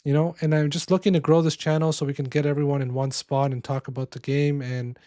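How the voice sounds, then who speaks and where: A man with a hoarse voice from being sick, giving loose casual commentary on a strategy game and often restarting his phrases. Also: a little high, level tone, fast talker, dark tone, no echo, studio quality. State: hoarse, losing voice from illness.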